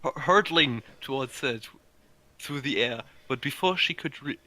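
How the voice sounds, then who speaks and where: Male amateur narrator: mid-pitched, sharp bright voice, informal story reading with stumbles. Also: moderate pace, low-quality recording. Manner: stumbles over words, informal. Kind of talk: amateur fan fiction reading.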